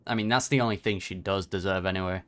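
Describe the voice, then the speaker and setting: A man's voice: low voice, fairly even intonation, fast, fairly bright tone, a little hiss or hum. A man giving casual, laid-back reaction commentary on a video game as host.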